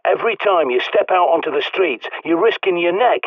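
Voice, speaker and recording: An actor playing a gruff, bossy British survivalist who guides a player by radio, speaking bluntly and swearing freely. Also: male voice, sits high, expressive, a little fast, no echo. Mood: urgent. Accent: British English. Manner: barking orders over a radio. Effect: radio filter. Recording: tinny dry radio-line sound.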